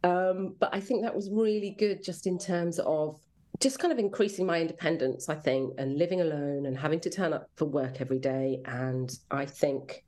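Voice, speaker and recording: Low-pitched English woman, chatty. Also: normal speed, dark tone. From rural Devon, England. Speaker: interview guest, children's drama commissioning editor. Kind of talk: casual reflective interview chat.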